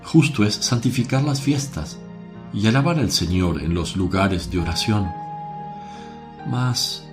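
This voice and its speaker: A lowish, very unhurried male voice acted by a man of a gentle holy teacher in a religious radio drama. He preaches solemnly, with measured, declamatory phrasing, and music plays behind him. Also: lively intonation. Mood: solemn, exhorting.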